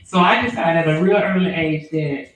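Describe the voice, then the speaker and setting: A woman attorney, answering interview questions in an earnest, measured way with frequent fillers. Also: fairly low pitch. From Alabama, US. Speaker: attorney and former prosecutor. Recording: very echoey room.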